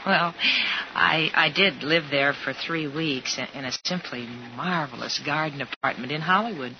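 A woman's voice: low voice, moderate pace. An actress playing a chatty, prim, homespun young woman in a 1950s radio drama, gushing and easily flustered. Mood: chatty, hesitant. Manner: gushing, flustered, old-fashioned exclamations. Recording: muffled, noisy 1950s radio recording.

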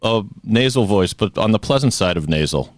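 A man co-hosting a podcast, teasing his partner with quick wisecracks in casual banter. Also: lowish voice, no room sound, studio quality. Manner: wisecracking, teasing, lively delivery. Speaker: podcast co-host.

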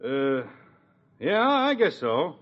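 A reluctant, halting American family man from an old radio comedy. His slightly high voice moves widely in pitch, on a muffled vintage recording. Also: very animated, a bit bright, exaggerated voice. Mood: sheepish, reluctant. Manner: halting, sheepish. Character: reluctant, hesitant middle-aged husband and father. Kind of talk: 1950s radio sitcom acting.

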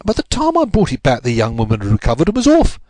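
A man's voice: a little high, huge pitch swings, fast, a little mellow, dry recording, studio quality, voice pushed up. A man voicing a big, penitent police constable in an audiobook, nervous, chatty, deferential and apologetic. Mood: explaining, sheepish. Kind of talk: audiobook character voice.

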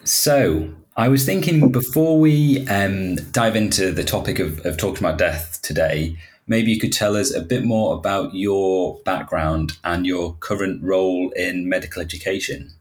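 A man's voice: low voice, normal speed. A man hosting a podcast interview, asking questions in a friendly, slightly hesitant way. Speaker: podcast host. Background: soft guitar music.

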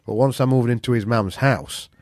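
A man with a Yorkshire accent tells stories as a guest in a casual interview. His delivery is blunt and earthy, peppered with swearing. The voice sits at an average male pitch and is recorded dry and close. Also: normal speed, darkish tone, dry recording. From Yorkshire, England. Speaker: interview guest, veteran jiu-jitsu practitioner. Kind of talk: casual anecdotal chat.

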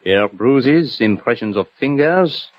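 An actor playing a clinical, inquisitive French police doctor, speaking in a clipped, dramatic way for an old radio drama. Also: male voice, mid-range voice, animated, slow pace, dry recording. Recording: dull vintage radio recording.

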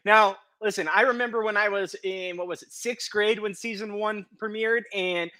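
A man in his thirties co-hosting a podcast, encouraging and upbeat, and quick to laugh. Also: high voice, fairly even intonation, pushed and bright, dry recording. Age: 30s. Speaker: podcast co-host. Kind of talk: casual chat.

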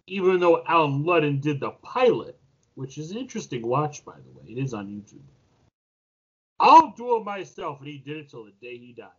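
A man's voice: high-pitched, husky, lo-fi sound. A man giving casual, opinionated banter as a podcast panelist, emphatic and salty-tongued.

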